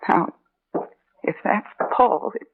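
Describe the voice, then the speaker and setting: An actress playing a frightened, insistent young wife in an old radio horror drama. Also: female voice, slow, lightly acted. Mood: anxious. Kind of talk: 1940s radio drama acting. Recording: tinny, muffled old radio recording.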